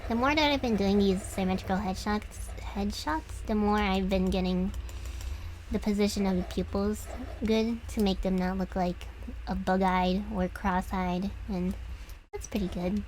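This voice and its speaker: A young woman streaming as she draws, talking softly and lower than usual, hesitant and often trailing off. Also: fairly even intonation, unhurried, a little hiss or hum, rough recording. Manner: soft, hesitant, trailing off with restarts. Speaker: streamer drawing commissions. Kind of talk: casual reflective chat while drawing.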